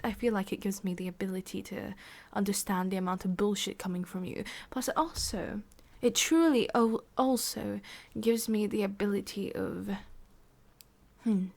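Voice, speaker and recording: A woman's voice: mid-range voice, darkish tone, lightly acted. A woman with an English accent playing a sadistic, condescending boss in an ASMR roleplay, whispery and menacing. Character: sadistic, domineering female boss scolding an employee.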